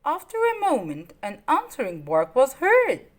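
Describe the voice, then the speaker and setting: A woman reads a children's story aloud. Her voice sits fairly high and moves in lively swings. She addresses young listeners simply, stressing the dramatic words. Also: measured pace. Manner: simple, child-directed delivery with dramatic emphasis.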